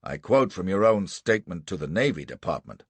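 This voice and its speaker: A man voicing a bullish male prosecuting lawyer in an audiobook, bawling and hectoring with emphatic, deliberate questions. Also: low voice, very animated, breathy edge, dry recording, heavily acted. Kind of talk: audiobook character acting.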